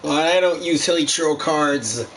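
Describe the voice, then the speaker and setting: やや高めの声の男性ゲーム実況者. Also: male voice, fairly high pitch, slight background noise. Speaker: gaming video host. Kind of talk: casual gameplay commentary. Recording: game music behind the voice.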